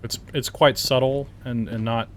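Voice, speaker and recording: A man gives casual gameplay commentary in a middle-pitched voice. He is laid-back and a little halting as he thinks aloud, with faint noise and game ambience behind him. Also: fairly even intonation. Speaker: game reviewer and player.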